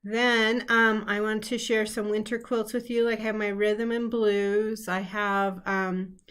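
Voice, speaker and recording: A middle-aged woman hosting a casual live-stream chat as a quilting teacher, a little hoarse from a cold, chatty with lots of ums and throat clearing. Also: mid-range voice, little pitch movement, a little slow, a bit bright. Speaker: quilting teacher and show host. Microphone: computer setup, live stream.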